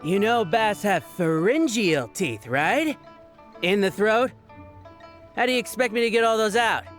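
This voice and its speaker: An eager market fishmonger from a video game, acted by a woman, chatty and quick to get exasperated. The pitch is very lively, with sing-song hawking over faint music. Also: natural voice. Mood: baffled, exasperated. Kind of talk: video game character acting.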